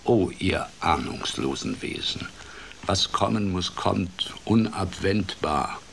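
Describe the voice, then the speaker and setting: A man voicing a gloomy female toad who scolds theatrically and gravely in a children's radio play. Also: low voice, animated, slow pace, a bit bright, slight background noise, heavily acted. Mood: gloomy, foreboding. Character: gloomy, scolding female toad given to doom-laden speeches.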